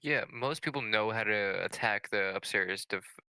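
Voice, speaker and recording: A male esports co-commentator with a lowish, very bright and forceful voice. He analyses the live match in a conversational way, offering his opinions as he goes. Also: normal speed, smooth, dry recording.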